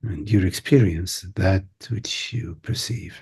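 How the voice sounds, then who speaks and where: A man guides a meditation in a low, very husky and breathy voice. His delivery is mellow and contemplative, broken into short phrases with long silences between them. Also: a little slow. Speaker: meditation teacher. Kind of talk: guided meditation, spoken softly to listeners.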